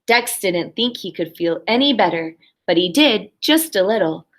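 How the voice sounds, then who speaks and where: A woman's voice: mid-range voice, expressive, normal speed, a little hiss or hum. A woman primary school teacher reading a story aloud expressively and talking simply and encouragingly to young children.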